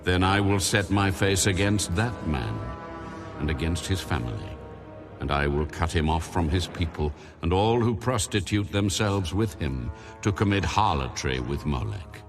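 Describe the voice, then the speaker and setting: An almighty male deity in a scripture audio drama, speaking in a very low voice. He is solemn and commanding, declaring each law and judgment as final. Music plays clearly behind him. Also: expressive, normal speed. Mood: stern, solemn.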